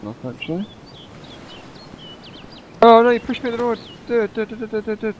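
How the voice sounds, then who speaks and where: A man playing a survival game with a friend, chatting casually with loud teasing outbursts and swearing. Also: very high voice, very animated, normal speed, dull audio, a little hiss or hum. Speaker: fellow player. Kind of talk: casual gaming chat.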